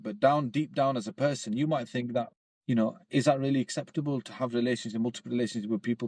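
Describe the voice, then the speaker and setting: A man hosting a casual podcast discussion, whose first language is Urdu, talking quickly and acting out other people's voices as he makes his points. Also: medium pitch, dry recording. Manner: quick, animated, acts out quoted voices. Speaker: podcast host.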